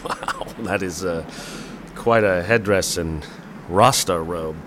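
A man's voice: low voice, slow pace, noisy background. A man playing a stubborn, sarcastic brother searching for his sister in an audio play. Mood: sardonic. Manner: sardonic, insistent.

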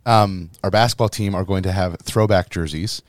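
A hoarse man, a priest co-hosting a podcast in rambling casual chat. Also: sits low, moderate pace, no room sound. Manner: rambling, storytelling with laughs.

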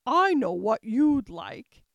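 An imperious queen from a storybook, acted out by a woman, brisk and bossy. The voice rings out high and swoops widely, with emphatic, commanding delivery in a dry, close sound. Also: sing-song, creaky, dry recording, highs cut off, full character voice. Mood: imperious, gracious. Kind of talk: storybook character voice.

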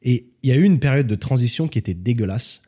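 A man from France, a podcast guest, telling stories in a relaxed, casual chat. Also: medium pitch, fast, husky. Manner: relaxed storytelling, animated when joking.

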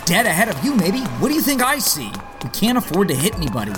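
A man's voice: high voice, big pitch swings, fast, music in the background. A man playing a wisecracking, confident archer vigilante in a superhero audio drama, wry and quippy. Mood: sarcastic.

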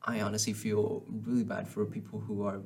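A man's voice: fairly low pitch, level tone, a little fast, vocal fry, a little mellow, husky. A young man hosting a school podcast, speaking hesitantly with frequent restarts in casual conversation. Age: teens. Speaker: student podcast host.

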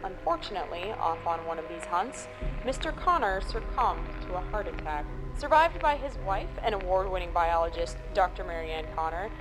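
A woman playing a composed public radio host in an audio drama, reading news in a measured, formal way. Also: on the high side, natural voice, rough recording. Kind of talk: scripted radio news presenting.

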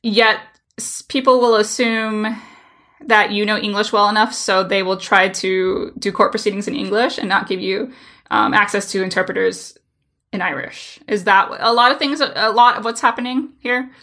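Bright-voiced woman hosting a podcast. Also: mid-range voice, steady tone, normal speed. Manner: chatty, laughs between phrases. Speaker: podcast host. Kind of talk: casual podcast chat.

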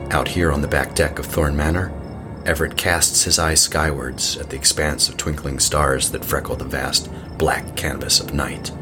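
An extremely deep-voiced male narrator played by a man tells a horror tale. His delivery is measured, solemn and literary, with an ominous weight. Music plays clearly beneath him. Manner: measured, solemn, deliberate storytelling. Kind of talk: scripted horror audio drama narration. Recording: music under the voice.

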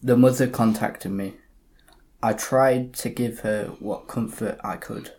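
Man as a sober, even-toned police inspector. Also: lowish voice. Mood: calm, consoling. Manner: measured, formal. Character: calm, sober male police detective inspector. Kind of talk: community audio drama narration and dialogue.

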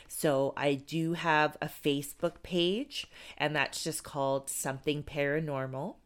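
A low-pitched woman talks casually as a podcast host, her voice smooth and resonant despite sounding congested. She rambles a little with fillers, warmly thanking her listeners. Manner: chatty, grateful, rambling with fillers. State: congested from a cold. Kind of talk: casual solo podcast talk.

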